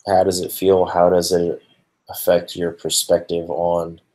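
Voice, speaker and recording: Man interviewer with a very low voice, halting, casual, winding questions. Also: completely flat delivery, mellow, poor audio. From Hawaii.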